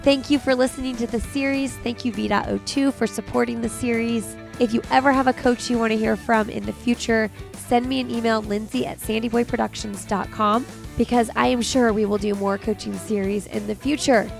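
A woman hosting a podcast, raspy from a cold, chatting casually and laughing often. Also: on the high side, fairly even intonation, a little fast, hardly any pauses, soft background music. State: recovering from a cold. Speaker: podcast host. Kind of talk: casual interview chat.

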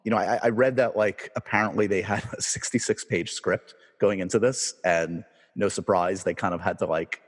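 Man, podcast host, husky, slightly low pitch, relaxed filler-heavy talk. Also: moderate pace, long reverb tail. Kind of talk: casual interview chat.

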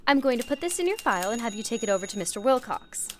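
Radio play: cheerful female receptionist, acted by a woman, animated delivery, faint noise behind. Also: on the high side, racing. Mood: cheerful, helpful. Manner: bubbly, gracious. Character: cheerful, welcoming female front-desk receptionist. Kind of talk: old-time radio play acting.

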